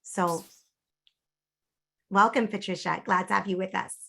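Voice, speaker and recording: A woman's voice: mid-range voice, fairly even intonation, a little slow, vocal fry, sharp tone. A woman hosting a webinar over a video call, moderating informally and introducing speakers.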